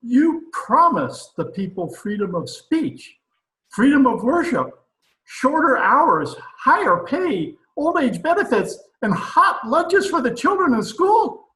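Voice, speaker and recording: Man playing a pompous, high-pitched excitable dictator. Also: slow, exaggerated voice. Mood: blustering. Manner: pompous, blustering, exclamatory. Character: pompous, excitable dictator president of a banana republic. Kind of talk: old-time radio comedy acting. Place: home room, recorded over video call. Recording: roomy echo over video call.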